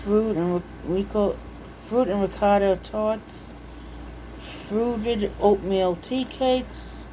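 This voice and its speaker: A woman's voice: medium pitch, fairly even intonation, slow pace, mellow, slight background noise, poor audio. A woman chatting casually and unscripted, reading things out and musing to herself in a homey, folksy way. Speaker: vlogger showing a recipe book. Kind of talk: casual unscripted chat while browsing and reading out recipes. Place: indoors at home.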